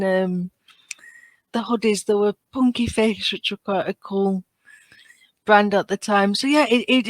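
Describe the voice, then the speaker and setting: A woman in her thirties with a British accent talks in a relaxed, conversational podcast style. She is chatty and tells things with animation. The sound is dry, with very little room in it. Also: medium pitch, dry recording. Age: 30s. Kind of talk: casual conversational chat.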